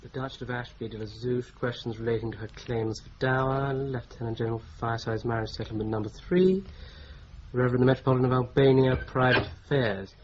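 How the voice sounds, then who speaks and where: A man's voice: mid-range voice, fairly even intonation, a little fast, close to an everyday voice. A man playing a curious polite new solicitor. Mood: inquiring. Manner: inquisitive, polite. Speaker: newly arrived solicitor. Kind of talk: radio drama acting. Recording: dull lo-fi radio play recording with hiss.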